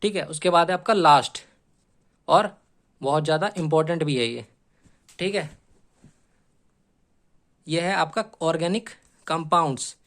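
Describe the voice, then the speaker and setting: Man, slightly high voice, coaching teacher, instructional lecture, frequent check-in tags, English terms mixed in. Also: measured pace, pauses often, fairly bright tone.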